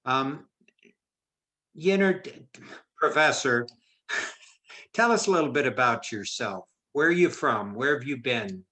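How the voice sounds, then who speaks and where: A man hosting a faculty interview, friendly and a bit halting with restarts. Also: medium pitch, expressive, bright, forceful tone. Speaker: interview host, business law lecturer.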